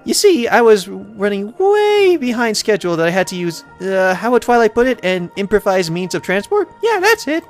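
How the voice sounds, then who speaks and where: A man's voice: high voice, sing-song, moderate pace, hardly any pauses, soft background music, voice pushed up. A man voicing a cheerful, playful, scatterbrained young female pony in a bubbly, gushing way for a game.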